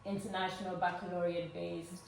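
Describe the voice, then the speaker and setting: A woman's voice: lowish voice, flat delivery, brisk, gravelly, a little mellow, far from the mic, a little hiss or hum. A middle-aged woman from Uganda tells her personal story to guests at an evening event, earnestly, with many ums. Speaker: speaker at a fundraising evening. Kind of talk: personal story told to a live audience. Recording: very echoey room, voice from afar.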